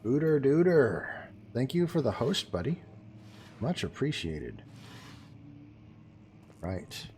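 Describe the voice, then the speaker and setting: A man's voice: mid-range voice, sing-song, slow, long pauses, darkish tone, slight background noise. A man streaming a game live, chatting casually and joking with his viewers as he plays. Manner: chatty, joking, talks to chat while playing. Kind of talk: casual live gameplay commentary.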